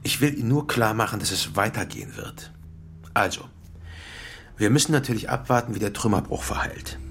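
An actor playing a composed, conciliatory male mayoral candidate with a measured, businesslike manner in a naturalistic radio crime drama. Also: fairly low pitch, creaky, fairly bright tone, slight background noise. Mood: businesslike, reassuring. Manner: matter-of-fact, measured, businesslike.

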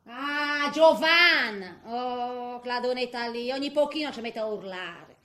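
A woman's voice: a little high, a little slow, bright, forceful tone, echoey room. An actress playing a feisty, grumbling woman of eighty in a theatrical comic monologue, with a Tuscan accent and muttered, exclamatory asides. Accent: Tuscan Italian. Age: older. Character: feisty, grumbling eighty-year-old woman in a nursing home.

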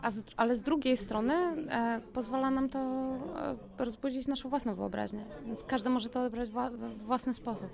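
A woman with a fairly high, creaky voice speaks as an interviewed guest. She is effusive and feels her way through her phrases in a casual, conversational style. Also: moderate pace, few pauses, a crowd in the background. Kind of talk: casual post-event interview.

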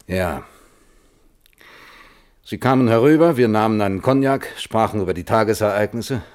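Male actor as fifty-year-old physicist, low voice, weary, reflective, precise, radio drama testimony. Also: animated, moderate pace, natural voice. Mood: guarded, recollecting. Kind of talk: German radio play, hearing-room testimony.

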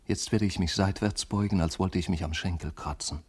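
A deep voice speaks with pointed, shifting intensity. It is a rebellious schoolboy full of disdain, in a literary radio drama monologue. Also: male voice, brisk, slightly rough. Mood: furtive, admiring. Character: rebellious, disdainful schoolboy, secretly admiring a classmate.

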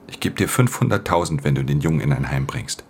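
A man's voice: lively intonation, fast, slight background noise. An actor playing a weary, hard-edged thief in a radio drama, speaking in a terse, subdued way as he narrates and talks. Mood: flat, guarded. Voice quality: very deep, gravelly. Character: weary, hard-edged male thief narrating his own crime story. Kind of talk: radio drama, first-person narration and dialogue.

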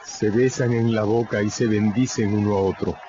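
A man's voice: lowish voice, steady tone, normal speed, background noise. A man reading scripted dramatic narration as the narrator of a radio drama. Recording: dull recording, music behind.

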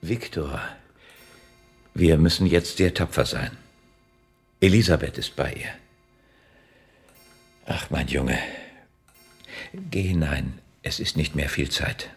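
Radio drama casting: sorrowful father, extremely deep, husky and breathy, subdued. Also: lively intonation, soft background music, full character voice. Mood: grave sorrow. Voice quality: gravelly, very husky. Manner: slow, heavy, subdued. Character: grieving father, grave, tender, bracing his son.

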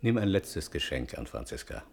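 Weary older bourgeois father, low voice, formal measured eloquence, radio drama, light music underneath. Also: very animated, gravelly, full character voice. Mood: resigned, solemn. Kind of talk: radio play dialogue.

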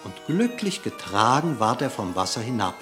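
A male narrator declaims a verse fable with theatrical expression. His voice sits at a medium pitch, and faint music plays behind him. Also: very animated, normal speed.

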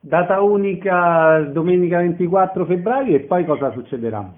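A man's voice: high-pitched, moderate pace, narrow, tinny audio. A man asking short questions as an interviewer. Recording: band-limited radio or phone sound.

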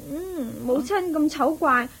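A woman's voice: high voice, slow pace, fairly bright tone. A woman with a Hong Kong Cantonese accent playing a petulant, whiny young daughter in a radio drama.

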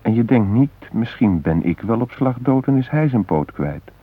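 An actor playing a shaken former hostage, retelling a brush with death in an intense, introspective radio drama monologue. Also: male voice, medium pitch, big pitch swings, normal speed, slightly rough, no room sound. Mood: intense, reflective. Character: shaken male former train hostage recounting facing death. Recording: muffled, narrow-band old radio recording.

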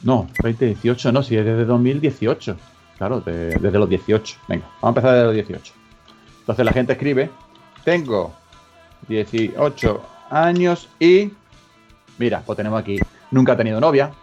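A man's voice: medium pitch, animated, normal speed, a little mellow, dull audio, faint music behind. A man from Spain hosting a comedic radio chat, joking and rambling as he reads out web searches. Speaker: radio show host.

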